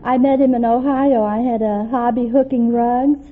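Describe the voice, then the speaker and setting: A woman's voice: fairly high pitch, fairly even intonation, moderate pace. A woman answering as a quiz contestant in a casual, hesitant, giggly way. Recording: tinny old radio recording, loud noise, audience. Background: crowd laughter and heavy noise.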